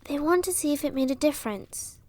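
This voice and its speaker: A woman playing an earnest, sorrowful young villager and rebel in a fantasy audio roleplay, speaking with dramatic pauses. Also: sits high, animated, darkish tone. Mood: sorrowful, grave. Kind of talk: audio roleplay acting, storytelling dialogue.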